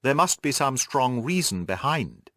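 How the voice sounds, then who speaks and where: A man voicing a Victorian gentleman detective in an audiobook, measured and analytical in manner. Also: mid-range voice, sing-song, no room sound, clean studio sound. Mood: thoughtful, uneasy.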